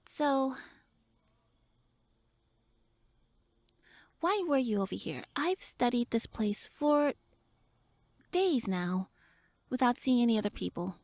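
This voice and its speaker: A woman's voice: a little high, darkish tone, no room sound. A woman voicing a tiny, pouty tsundere elf with little wings, flustered, huffy and indignant, speaking to the listener in a roleplay audio drama. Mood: huffy, defensive.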